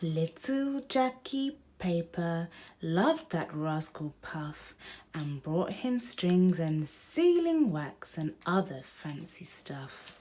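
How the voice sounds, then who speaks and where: A woman's voice: fairly low pitch, big pitch swings, slow, mellow. A woman hosting a children's picture book read-aloud, speaking directly to young listeners.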